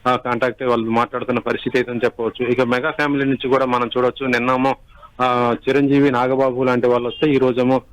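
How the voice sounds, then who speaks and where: A man giving a live unscripted news report, halting and repeating himself as he goes. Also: medium pitch, level tone, clean tone, low-quality recording. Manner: halting, repetitive, restarts words.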